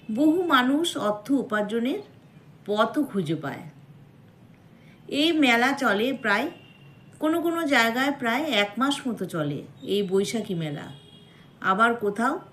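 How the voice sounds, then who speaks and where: A woman hosts an explainer in a fairly high voice. Her pace is very slow and measured. She sets out each phrase separately, pausing before the next. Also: slight background noise. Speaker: video host. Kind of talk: explanatory monologue about a festival.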